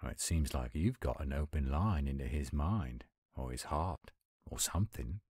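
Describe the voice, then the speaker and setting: A man playing a gruff, weary but kind werewolf pack leader, measured and plain-spoken, in an audio roleplay. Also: rumbling low, expressive, a little mellow, no room sound, studio quality, full character voice. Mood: earnest.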